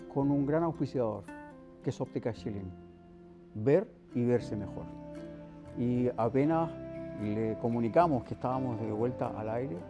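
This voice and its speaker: A man's voice: medium pitch, highs cut off. A man with a Chilean accent hosting a television interview in a conversational way.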